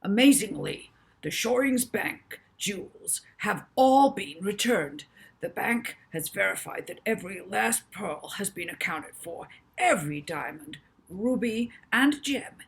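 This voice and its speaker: A woman reading a storybook, voicing an excited male TV news reporter with emphatic, dramatic announcing. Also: mid-range voice, measured pace, creaky.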